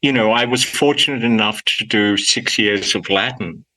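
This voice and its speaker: A man's voice: fairly low pitch, moderate pace, pushed and bright, poor audio. A man in his sixties with an Australian accent, who grew up speaking Greek, answering interview questions in a thoughtful, hesitant way with many repeated words. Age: 60s. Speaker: guest professor.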